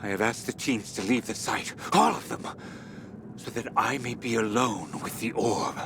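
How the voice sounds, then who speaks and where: An actor playing an obsessed researcher sliding into messianic madness in a video game audio log, speaking haltingly and broodingly, at times breaking into whispers or cries. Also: male voice, on the low side, noisy background, close to an everyday voice. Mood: frustrated, obsessive. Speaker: expedition leader recording audio logs. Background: background music.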